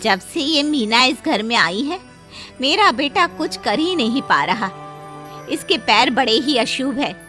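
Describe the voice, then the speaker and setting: A woman's voice: high-pitched, lively intonation, a little slow, bright, forceful tone, soft background music, full character voice. An actress voicing a harsh, complaining middle-aged mother-in-law in a cartoon dub. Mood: scolding. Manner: scolding, complaining.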